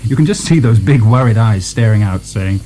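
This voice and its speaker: A male actor plays a weary imprisoned nobleman in a radio play. The voice is very dark and rather low, hesitant and wryly ironic, with a faint hiss behind it. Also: lively intonation, normal speed, close to an everyday voice, low-quality recording. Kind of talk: radio drama acting.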